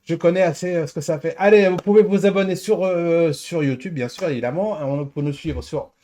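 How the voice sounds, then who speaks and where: A man hosts a relaxed live chat show. His voice sits a little higher than average for a man. He speaks haltingly, often repeating himself and restarting his sentences. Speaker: show host.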